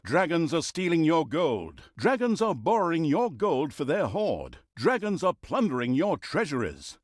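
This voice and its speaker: An actor playing a wry, pompous storyteller who narrates a dark fantasy video game with mock grandeur. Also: male voice, fairly high pitch, slightly rough, dry recording, clean studio sound, full character voice. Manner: wry, mock-grand, breaks into flustered asides.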